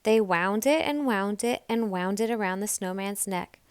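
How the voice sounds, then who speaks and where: A woman reading a children's story aloud as scripted narration, in a deliberate, expressive storytelling manner. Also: medium pitch, clean tone, no echo, studio quality. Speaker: storybook reader.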